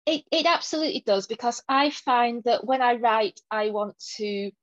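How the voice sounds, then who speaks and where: A woman's voice: on the high side, normal speed, dry recording, highs cut off. A woman from Lancashire with a mild Lancashire accent, answering interview questions as a guest author in an articulate, reflective way. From Lancashire, England.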